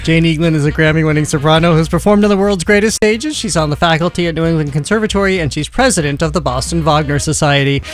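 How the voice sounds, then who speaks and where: A man hosts a radio arts show, joking with his guest and wrapping up the segment. His voice sits a little higher than most men's. He speaks into a studio microphone. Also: quick pace, few pauses, soft background music. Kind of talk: radio interview hosting.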